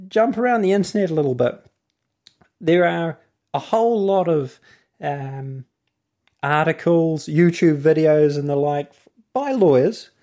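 New Zealand man hosting a solo talk on law, slightly high, warm and mellow voice, digressive delivery. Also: vocal fry. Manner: digressive, wanders off and finds his thread again. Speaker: host, legal author. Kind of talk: casual explanatory monologue.

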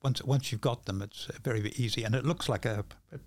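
An older man chats as a podcast guest, halting and often repeating his words. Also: medium pitch, a little mellow, dry recording. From New Zealand. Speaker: podcast guest, magician and author. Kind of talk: casual conversation.

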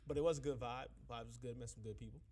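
Man, young adult, podcast host, quick talker, loose slang, a little under the weather. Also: medium pitch, fairly even intonation, over a noisy crowd. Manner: laid-back slangy talk, quick and loose. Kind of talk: casual podcast chat.

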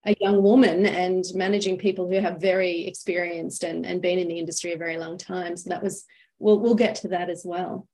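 A woman's voice: on the low side, fairly even intonation, a little fast, mellow, low-quality recording. Lady host of a business english interview, friendly chatty tone, leading the questions. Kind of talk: interview conversation.

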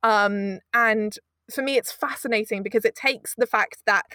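A woman's voice: a little high, moderate pace, bright, forceful tone, no room sound, studio quality. A woman speaking as an interview guest, effusive and emphatic, often wandering off into asides as she talks. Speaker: guest, audio drama producer. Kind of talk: enthusiastic interview conversation.

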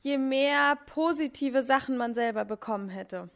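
A woman's voice: high-pitched, normal speed, vocal fry. A woman thinking aloud in a talk with a school class, turning the question back to the students. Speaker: actress answering a school class.

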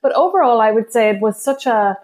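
A woman from Ireland with an Irish accent, a guest poet whose first language is Irish, speaking reflectively in an interview, pausing and restarting often. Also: fairly high pitch, lively intonation, darkish tone. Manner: thoughtful, hesitant, many restarts and fillers.

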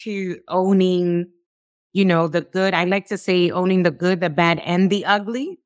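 Low-voiced woman, affirming podcast host. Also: steady tone, unhurried, no room sound, studio quality. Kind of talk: conversational, reflective interview response.

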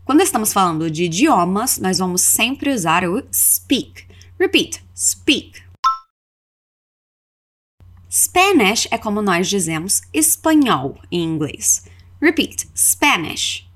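A woman's voice: medium pitch, big pitch swings, slow, a bit bright. A woman with a Brazilian accent teaching a scripted language lesson as a podcast host, instructive, carefully enunciating example phrases for repetition. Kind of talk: scripted language lesson with repeat-after-me drills.

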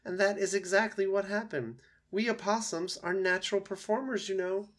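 A man's voice: high voice, moderate pace, a bit bright, voice pushed up. A man voicing a chatty, friendly female opossum with a theatrical, exaggerated delivery for a storybook read-aloud. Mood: theatrical, proud.

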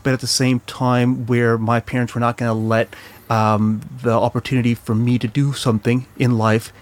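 A middle-aged man from Chile with an American accent, telling his life story as a guest in a casual interview, halting with many fillers and restarts. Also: medium pitch, little pitch movement.

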